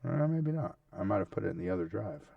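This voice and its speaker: Low, creaky-voiced man, casual tutorial host. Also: very animated, quick pace, dry recording, distant mic, narrow, tinny audio. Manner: informal, checks in often with a tag question. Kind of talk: casual screen-share tutorial, narrating steps as he goes.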